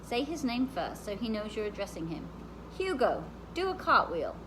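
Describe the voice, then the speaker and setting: A woman's voice: mid-range voice, very animated, normal speed, background noise, natural voice. A woman reading the part of an easygoing, folksy farmhand who gives plain instructions in a storybook. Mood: instructing.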